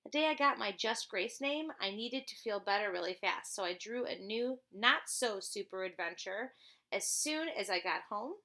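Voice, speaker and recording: A woman's voice: mid-range voice, lively intonation, moderate pace, sharp tone. A woman reading a children's storybook aloud as a teacher would, expressively and with chatty asides.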